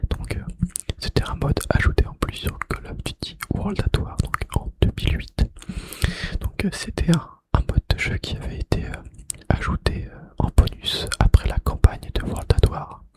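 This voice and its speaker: Whispering man doing ASMR. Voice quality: breathy unvoiced whisper. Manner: hushed, repeats key phrases slowly and softly. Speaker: ASMR video creator. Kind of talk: whispered ASMR gaming trivia. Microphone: close microphone.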